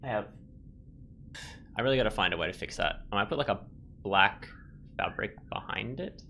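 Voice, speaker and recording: Bright-voiced man musing over a game. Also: fairly low pitch, lively intonation, normal speed, gravelly, a little hiss or hum. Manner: rambling, musing. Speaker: let's play commentator. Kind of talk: casual gameplay commentary, thinking aloud. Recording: faint game music behind.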